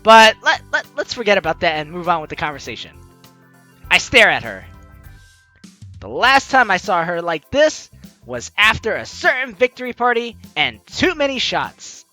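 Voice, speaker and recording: Casting: excitable let's play host, bright tone, lively swings in pitch, stammering asides, playful delivery. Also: moderate pace, soft background music. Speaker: gaming video host. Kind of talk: let's play commentary, reading game text aloud.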